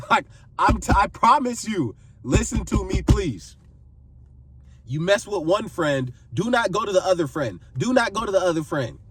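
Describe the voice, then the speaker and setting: A man's voice: high-pitched, sing-song, moderate pace, far from the mic, slight background noise. A man in his twenties giving earnest advice to camera, pleading and repeating phrases for emphasis. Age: 20s. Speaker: vlogger giving life advice.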